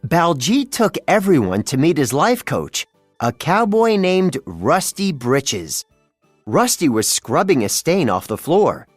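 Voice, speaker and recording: A narrator reading a children's read-along storybook in an expressive storytelling manner. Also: mid-range voice, slow, dry recording, soft background music.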